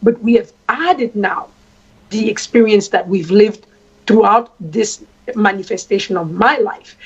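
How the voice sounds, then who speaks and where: A woman from Congo, a traditional queen, speaking at length in a deliberate way and repeating phrases for emphasis. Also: mid-range voice, slightly rough. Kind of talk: spoken address. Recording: dull lo-fi sound.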